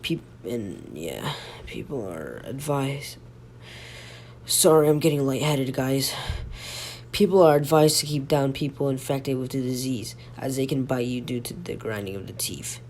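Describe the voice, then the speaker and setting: A man playing a sick, halting local radio host who reads breaking news in an amateur audio drama. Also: mid-range voice, lively intonation, a little mellow. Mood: weary, unwell. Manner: halting, stumbling, breaking off to apologize. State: sick, coughing. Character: ailing male local radio news host, dutiful but fading. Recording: noisy home recording with hum.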